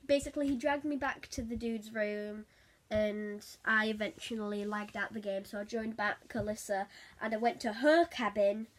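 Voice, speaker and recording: Female storyteller for a casual vlog, higher-pitched voice, animated and giggly delivery. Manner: animated, giggly, acts out quotes. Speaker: vlogger telling a story.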